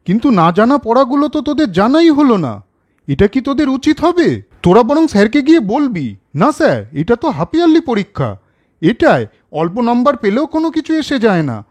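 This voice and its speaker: A man voicing a caring, principled older brother in a children's cartoon story, questioning and gently lecturing. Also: very high-pitched, exaggerated voice. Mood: stern, reproving.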